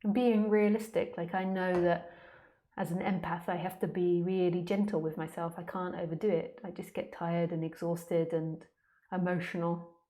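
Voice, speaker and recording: A woman with a fairly low voice gives an informal spiritual talk, answering questions as a teacher. She speaks candidly and plainly, in a conversational way that feels unscripted. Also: flat delivery, moderate pace, mellow.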